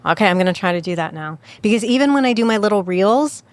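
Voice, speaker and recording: A woman's voice: medium pitch, animated, quick pace. An American woman hosting a local talk show, chatty and eager, asking lots of questions. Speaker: host. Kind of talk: casual chat.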